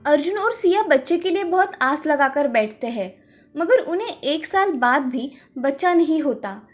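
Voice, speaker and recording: A woman's voice: high-pitched, lively intonation, moderate pace, soft background music. A woman storyteller reading scripted narration for a moral tale.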